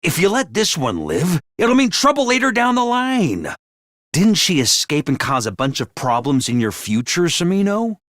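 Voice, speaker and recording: A man voicing a peppy, bossy little mascot commanding officer in a video game, with a high cartoonish voice and theatrical, jaunty drill-sergeant delivery. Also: sing-song, normal speed, fairly bright tone, dry recording, studio quality. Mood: stern, serious. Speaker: voice actor for a video game character. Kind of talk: animated video game voice acting.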